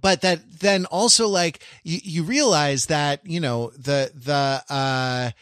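A man co-hosting a podcast in casual chat, musing philosophically and halting often with fillers as he works out his ideas. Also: a little high, fairly bright tone, dry recording, clean studio sound. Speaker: podcast co-host.

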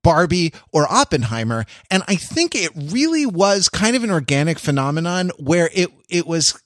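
An American man co-hosting a podcast in casual analytical discussion, wordy and intellectual, often restarting his sentences haltingly. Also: fairly high pitch, very animated, fairly bright tone, no echo, clean studio sound. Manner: hesitant, halting delivery with repeated false starts. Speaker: podcast co-host.

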